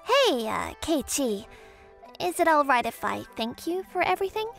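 A woman voicing a bashful but feisty, secretly sweet teenage schoolgirl maid in a manga romcom dub, shy and halting. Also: sits high, big pitch swings, vocal fry, a bit bright, full character voice. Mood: earnest, bashful. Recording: music behind the voice.

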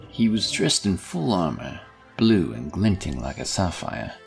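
Deep, expressive man narrating fantasy. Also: a little slow, creaky, soft background music.

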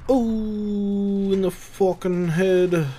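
A man's voice: sits high, fairly even intonation, extremely slow, mellow, slight background noise. A man giving casual gameplay commentary, muttering to himself and swearing freely. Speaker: gamer, let's play creator. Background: game gunfire and battle sounds.

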